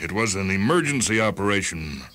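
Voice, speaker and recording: An actor playing a reasonable, measured lawyer in a radio drama, deliberate and formal. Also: male voice, low-pitched, expressive, sharp tone, soft background music. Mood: reasoned.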